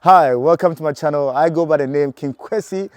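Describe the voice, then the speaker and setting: A man's voice: on the high side, a little fast, background noise. A man from Ghana hosting his channel and asking passers-by questions on a city street. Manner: upbeat, promotional. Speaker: YouTube host, street interviewer.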